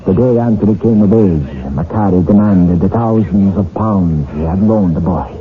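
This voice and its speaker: A man acting in a 1970s radio drama as a guilt-ridden older professor, theatrical and halting in his delivery. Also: sits low, soft background music, lightly acted. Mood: sombre confessing. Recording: tinny, band-limited 1970s radio recording.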